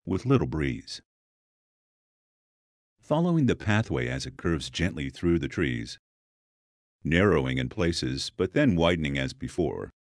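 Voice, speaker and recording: Deep-voiced man guiding a meditation. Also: a little mellow, no echo, clean studio sound. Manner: slow, deliberate, soothing instruction with pauses. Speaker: meditation guide. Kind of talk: scripted guided meditation narration.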